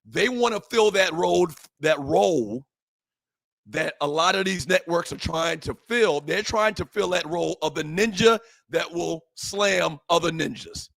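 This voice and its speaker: A man hosting a sports talk show, fervent and emphatic, repeating phrases and rising to shouts, his voice a little hoarse. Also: a little high, measured pace, no echo. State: slightly hoarse, voice worn from shouting. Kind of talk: impassioned sports talk monologue.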